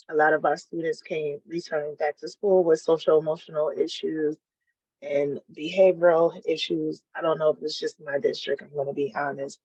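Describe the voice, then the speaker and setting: A woman on dry, slightly muffled meeting audio. She speaks tentatively and haltingly, as a school board member putting a question to colleagues. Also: sits low, a little mellow, no room sound.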